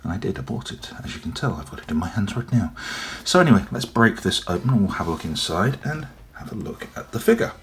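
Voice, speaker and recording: A young man with a cold, his voice deep and gruff, chatting casually and rambling as he unboxes and reviews a toy. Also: big pitch swings, quick pace, slight background noise. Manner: rambling, chatty, frequent ums. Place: indoors at a table, off camera.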